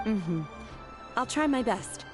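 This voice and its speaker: A woman playing a reserved, earnest young disciple in a video game, speaking in a measured, sincere way. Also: a little high, animated, loud music behind the voice. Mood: composed.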